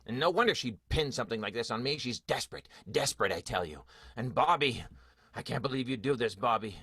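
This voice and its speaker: A man's voice: medium pitch, lively intonation, a little fast, sharp tone, husky, no echo, clean studio sound, heavily acted. A man playing a defensive, melodramatic stage performer in an improvised radio play, theatrical and emphatic. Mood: indignant.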